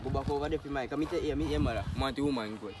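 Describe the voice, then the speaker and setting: A young man with a Jamaican accent, bantering and telling stories casually in excitable slang. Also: medium pitch, racing. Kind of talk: casual banter and storytelling among friends. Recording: noisy group chatter, outdoor ambience.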